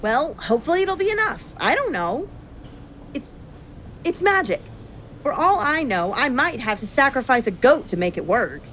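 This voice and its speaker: A woman's voice: high voice, sing-song, normal speed, fairly bright tone, slight background noise. A woman acting in an audio drama as an anxious, apologetic royal who gives orders in a hesitant, earnest way.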